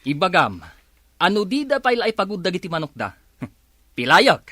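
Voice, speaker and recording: Hot-headed Filipino husband, lively and sharp. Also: male voice, high-pitched, normal speed, exaggerated voice. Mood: annoyed. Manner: grumbling, argumentative. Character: Alan, hot-headed stubborn farmer husband, quick to grumble. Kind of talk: radio drama acting.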